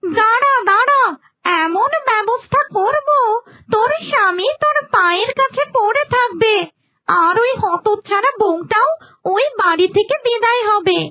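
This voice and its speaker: A woman's voice: extremely high pitch, measured pace, bright, forceful tone, no echo, heavily acted, low-quality recording. A woman voicing a bossy, greedy female ghost in a cartoon, scolding and threatening. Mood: indignant, scheming. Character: bossy greedy female petni ghost, avenging and always hungry. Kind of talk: Bengali cartoon voice acting.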